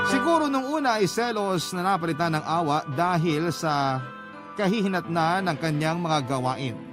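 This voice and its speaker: An actor plays a Filipino man narrating his own story in a radio drama. His voice is fairly high, confiding and reflective, with music clearly playing underneath. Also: close to an everyday voice. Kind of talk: first-person dramatic narration.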